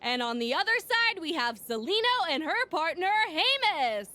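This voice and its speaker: High-pitched tournament announcer. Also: female voice, big pitch swings, normal speed, pushed and bright, full character voice. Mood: excited. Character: peppy, showy female tournament announcer. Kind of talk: match announcing.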